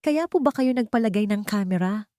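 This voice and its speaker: A woman's voice: mid-range voice, animated, fairly quick, warm tone, dry recording, studio quality, voice pushed up, lightly acted. A woman voicing a devoted adult son in an audio story, earnest and apologetic. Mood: anxious concern. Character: distraught adult son, a millionaire, remorseful, devoted.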